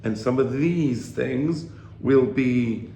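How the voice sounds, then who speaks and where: A slightly hoarse man giving an informal religious lecture to a study group, explaining and checking in with rhetorical questions. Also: medium pitch, slow pace, dark tone. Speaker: Torah teacher. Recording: roomy echo, faint background noise.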